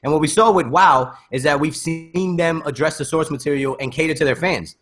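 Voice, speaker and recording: A man's voice: a little high, fairly quick, fairly bright tone, soft background music. A man hosting a casual panel chat, handing questions around and chipping in with his own takes. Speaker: host steering a panel discussion.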